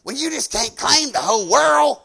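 High-pitched Southern preacher man, folksy and emphatic. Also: moderate pace, vocal fry. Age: 50s. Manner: folksy and conversational, peppered with praise exclamations; emphatic, swinging between quiet asides and loud declarations. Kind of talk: informal sermon with personal anecdotes and prayer.

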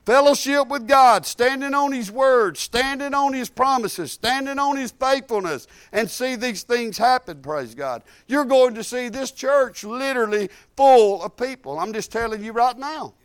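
A man preaches in a high, bright voice. He swings from loud, fervent exhortation down to soft, chatty asides, talking straight to his congregation. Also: expressive, unhurried, few pauses, clear, resonant tone, no room sound. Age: 50s. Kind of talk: sermon.

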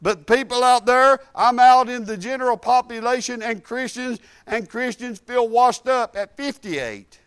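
A man preaches in a high, bright voice. He swings from loud, fervent exhortation down to soft, chatty asides, talking straight to his congregation. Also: unhurried, few pauses, clear, resonant tone, no room sound. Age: 50s. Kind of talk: sermon.

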